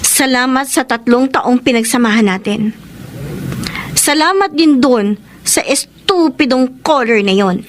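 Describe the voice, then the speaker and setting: High-voiced earnest young Filipino wife. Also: female voice, animated, unhurried, a little hiss or hum. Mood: hurt, indignant. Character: Lenny, earnest young wife grieving childlessness, hurt and frank. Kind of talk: Filipino radio drama acting and letter narration. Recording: radio drama with music bed.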